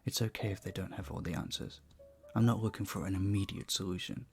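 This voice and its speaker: A low-pitched male vampire bartender, acted by a man, talks with a marked creak at the edges of his words. He is earnest, polite and gently flirtatious, choosing his words with care in an intimate audio roleplay. Music plays behind him. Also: fairly even intonation, quick pace. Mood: hopeful.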